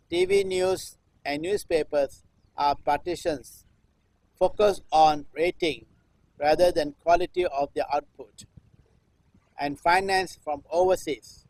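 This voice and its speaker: Guy in his 60s reciting like a performance piece, kinda high voice, dry sound, keeps repeating lines. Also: darkish tone, no echo, rough recording. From Singapore. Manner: repetitive, chant-like refrains with deliberate phrasing. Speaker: performance artist. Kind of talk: live performance recitation of a political text.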